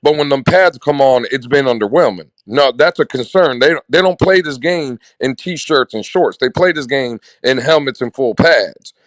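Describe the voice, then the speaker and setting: Male co-host, mid-pitched, argumentative and pressing, dry close sound. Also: moderate pace, few pauses, no echo, low-quality recording. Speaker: podcast co-host. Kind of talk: casual sports chat.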